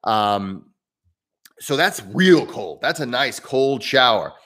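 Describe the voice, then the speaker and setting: A man's voice: medium pitch, animated, slow pace, fairly bright tone. A man from southern Indiana with a local accent, hosting a casual livestream and answering viewer questions in a rambling, chatty way with frequent restarts. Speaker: livestream host.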